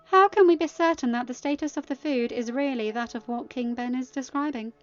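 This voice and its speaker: Woman acting a haughty, scornful older queen, raised pitch. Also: a little fast, highs cut off, soft background music, natural voice. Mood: doubting. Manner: scornful, accusing.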